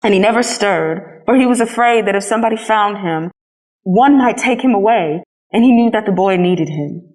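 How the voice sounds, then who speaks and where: Woman narrating a children's storybook, mid-pitched. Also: normal speed, poor audio. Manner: expressive storytelling. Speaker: storybook reader.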